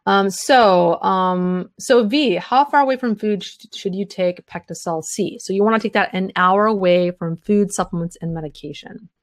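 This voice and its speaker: A woman's voice: mid-range voice, no echo. A woman hosting a live health webinar, answering listener questions at length with frequent fillers; she is tired and losing her voice. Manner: talks fast in long run-on answers with many fillers.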